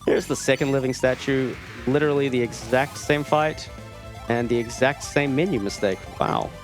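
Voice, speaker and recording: A man's voice: medium pitch, normal speed. A man giving casual live commentary while playing a video game, with wry asides and broken-off sentences. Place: gaming marathon event, streamed. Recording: game music behind the voice. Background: video game music.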